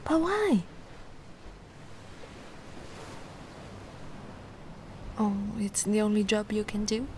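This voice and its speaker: A woman's voice: mid-range voice, very animated, moderate pace, darkish tone, a little hiss or hum, lightly acted. A woman from Italy playing a giant, affectionate, bubbly young mermaid in a cutesy, cooing ASMR roleplay. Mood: concerned, gentle. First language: Italian. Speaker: roleplay performer.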